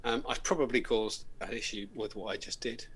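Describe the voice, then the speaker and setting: A man's voice: mid-range voice, steady tone, brisk, fairly bright tone, slightly rough, poor audio. A British man co-hosting a live show in a casual, joking chat, laughing often. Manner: banter, jokey, laughs often. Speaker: co-host.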